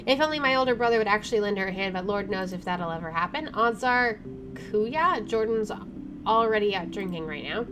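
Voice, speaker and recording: A chatty, expressive woman reading a visual novel aloud as she plays, with casual jokey commentary. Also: medium pitch, fairly quick, background noise. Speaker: gamer doing a first playthrough.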